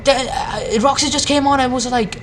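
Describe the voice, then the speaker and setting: A woman's voice: sits high, moderate pace, slight background noise. A woman giving an informal, sweary video rant as a reviewer, in a blunt and sarcastic manner. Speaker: anime review video maker. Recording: music behind the voice. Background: background music.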